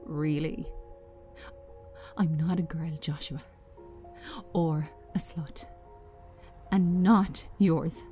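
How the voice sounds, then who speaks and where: Female yoga teacher acted by a woman narrator, shaken yet defiant, indignant, measured pace, screenplay reading. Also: low-pitched, fairly even intonation, mellow, soft background music. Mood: shaken but firm. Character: defiant, trembling young woman yoga teacher standing her ground.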